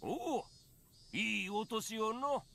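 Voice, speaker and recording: An actor playing a gruff, folksy old police detective with in a visual novel. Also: male voice, high voice, animated, a little slow, sharp tone, full character voice. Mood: genial. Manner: folksy old-man speech. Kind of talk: Japanese visual novel voice acting.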